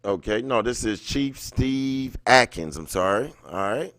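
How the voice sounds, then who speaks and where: A man's voice: medium pitch, expressive, unhurried, a bit bright, no echo, clean studio sound. A man hosting a livestream, reading an article aloud and adding blunt, colloquial comments of his own. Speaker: livestream host.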